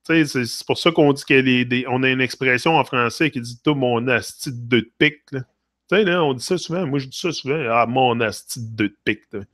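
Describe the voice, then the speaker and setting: A man's voice: mid-range voice, fairly quick, fairly bright tone, no room sound. Chatty Québécois man, dry close sound. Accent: Québécois French. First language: French. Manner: rambling, talks to chat viewers by name, frequent "là" fillers. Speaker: livestream host. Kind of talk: casual live-stream chat, mixing French and English.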